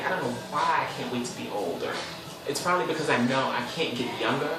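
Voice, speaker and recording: A chatty man in his twenties hosts his own show and talks things through at length, now and then breaking into sudden high squeals. His voice sits at an ordinary male pitch. He is recorded a little away from the mic in a very echoey room. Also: distant mic. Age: 20s. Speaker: host. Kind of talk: casual solo talk show monologue.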